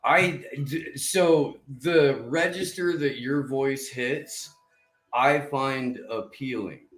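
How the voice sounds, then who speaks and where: A man's voice: medium pitch, slow, a bit bright, roomy sound, soft background music, poor audio. A man hosting a podcast in casual chat, profane and rambling. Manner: profane, laid-back, rambling. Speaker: podcast host.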